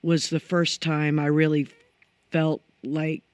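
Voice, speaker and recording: A middle-aged woman singer with a low voice, chatting as a guest in a studio interview, reflective and full of restarts. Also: level tone, slow, darkish tone, smooth, no echo. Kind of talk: casual conversational interview. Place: radio studio.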